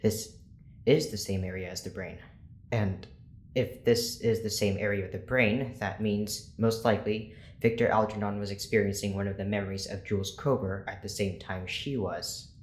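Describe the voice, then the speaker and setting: A male actor plays a composed, intent lead scientist in an audio drama. His voice is fairly low and his speech formal and deliberate, in a roomy echo. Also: fairly even intonation, lightly acted. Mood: intent, amazed. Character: controlled, intent male research doctor in charge. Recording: echoey room with faint noise.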